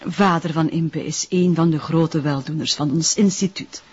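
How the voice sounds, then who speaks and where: Guarded, slightly husky Flemish nun. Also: female voice, deep voice, fairly even intonation, darkish tone, dull audio. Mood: measured, confiding. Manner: measured, formal, discreet. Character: guarded, stern female convent director, a nun. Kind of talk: naturalistic detective drama dialogue.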